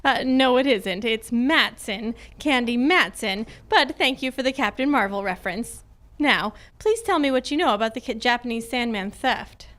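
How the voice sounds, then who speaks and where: A woman's voice: fairly high pitch, animated, a bit bright. A woman acting a sassy, wry female private detective in an old-time radio detective drama. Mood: brisk, wry. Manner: wry, brisk, teasing. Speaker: amateur radio club performer.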